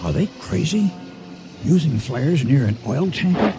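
An actor playing a gruff ship captain in a radio drama, gravelly, terse and commanding under pressure. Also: male voice, on the high side, big pitch swings, a little slow, music in the background. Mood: alarmed. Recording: very noisy sea and battle effects.

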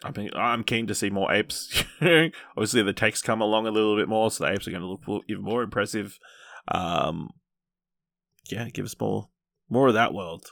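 A man's voice: lowish voice, normal speed, vocal fry, a bit bright, no echo, studio quality. A man hosting a podcast, chatting casually about film and reading out news items.

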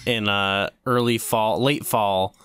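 Male co-host, slightly low, bright clean voice, laid-back, hesitant casual chat. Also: fairly even intonation, slow pace. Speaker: podcast co-host.

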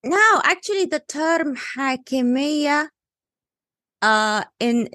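Analyst type, woman, Egyptian accent, native Arabic, pitched a touch high, insistent and emphatic, dry close sound. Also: expressive, slow pace, pushed and bright, dry recording. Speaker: guest analyst. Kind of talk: panel discussion.

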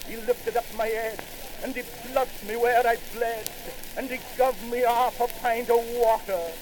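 A man giving an old-fashioned theatrical poetry recitation, declamatory, his voice swooping sharply upward on shouted lines, heard on a crackly 1919 phonograph recording. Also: very high voice, steady tone, measured pace.

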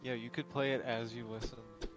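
A man's voice: medium pitch, flat delivery, a little fast, over loud music, rough recording. A man hosting a podcast, chatting about video games with dry, understated humor. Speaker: podcast host.

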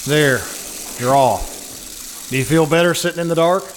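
A man acting an exasperated but protective husband in a live old-time radio suspense play, halting and reasoning. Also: on the high side, natural voice. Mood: impatient, reasoning. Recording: live recording with loud background noise.

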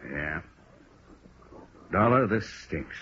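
An actor playing a blunt, gruff American police detective with a hard edge in a 1950s radio drama. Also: male voice, low-pitched, expressive, very slow, bright, forceful tone, exaggerated voice. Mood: gruff, grumbling. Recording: muffled, noisy vintage radio recording.

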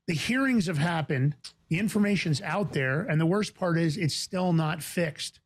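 A man from the Bronx with a New York accent, hosting a talk show in a fast, emphatic, indignant way. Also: on the high side. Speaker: podcast host.

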